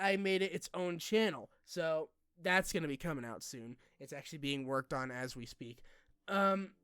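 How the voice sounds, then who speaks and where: A young man talking informally to his subscribers in a personal vlog, halting and rambling in a colloquial way. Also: on the high side, a bit bright, clean tone, dry recording, clean studio sound. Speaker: online video creator.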